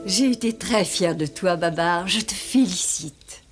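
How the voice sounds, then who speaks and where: A woman playing a kindly, refined lady who looks after a young elephant, expressive and maternal, in a dubbed cartoon. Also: lowish voice, a little slow, close to an everyday voice. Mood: proud, tender. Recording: music behind the voice.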